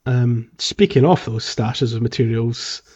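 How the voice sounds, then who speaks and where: A man's voice: medium pitch, a little slow, a little mellow, breathy edge, dull audio. A man co-hosting a casual video game podcast, chatting in an amused way with frequent chuckles. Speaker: podcast co-host.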